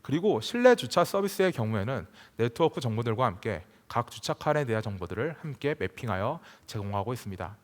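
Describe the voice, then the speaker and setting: Man, formal tech talk, prepared delivery, animated pitch movement, long echoing hall sound. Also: brisk, few pauses. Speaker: conference tech speaker. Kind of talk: prepared technical presentation. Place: large conference hall.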